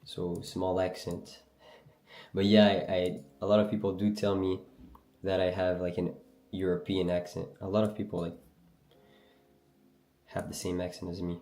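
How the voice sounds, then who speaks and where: A man's voice: sits low, completely flat delivery, normal speed, long pauses, dark tone, echoey room. A young man from Algeria, raised in Canada, with a French Canadian accent, casually chatting and answering viewer questions on a trading livestream. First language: Berber language. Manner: loose, slangy, reads and answers chat. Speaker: livestream trader host.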